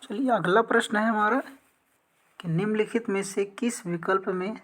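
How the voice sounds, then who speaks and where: A woman's voice: mid-range voice, moderate pace, fairly bright tone. A woman teacher explaining practice exam questions step by step, often asking a question and then answering it herself. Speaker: teacher, exam coaching instructor.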